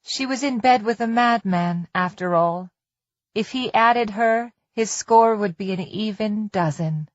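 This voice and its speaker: A woman narrating literary suspense fiction in a measured, deliberate manner, as for an audiobook. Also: medium pitch, no echo, dull audio.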